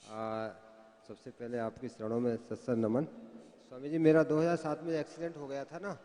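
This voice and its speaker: A man's voice: mid-range voice, level tone, moderate pace, mellow. A man giving a deferential personal testimonial to his guru at a health camp. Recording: reverberant hall.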